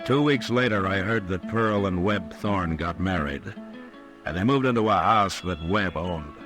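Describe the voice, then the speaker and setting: An American actor playing a stern, authoritative US marshal in a 1950s radio western, terse and commanding. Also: male voice, deep voice, a little slow, music in the background. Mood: grim narration. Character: stern, steady, authoritative Old West US marshal. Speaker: lead actor and narrator.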